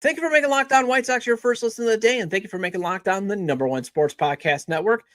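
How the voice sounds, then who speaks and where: Male, high voice, sports podcast host, dry recording, promos and guest intros. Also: quick pace, fairly bright tone, dry recording. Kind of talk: podcast hosting and ad reads.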